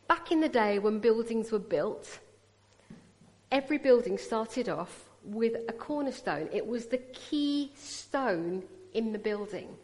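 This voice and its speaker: Woman in her 40s with a British accent and a fairly high voice, giving an interactive church talk into a PA mic in a big echoing hall. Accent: British English. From Punjab, India. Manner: chatty, teasing, draws the audience in. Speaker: guest speaker at a church. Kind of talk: personal testimony sermon. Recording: long reverb of a large hall.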